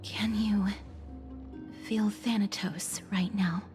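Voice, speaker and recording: A woman playing a gentle, somber young maiden in a fantasy game, with a soft, breathy, hushed voice. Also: mid-range voice, steady tone, close to an everyday voice. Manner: hushed, slow, formal. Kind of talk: scripted fantasy game voice acting. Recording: music behind the voice.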